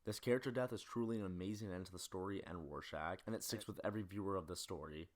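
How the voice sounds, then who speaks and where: Video essayist: young male, deep-pitched, brisk scripted narration. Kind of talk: scripted film-analysis narration.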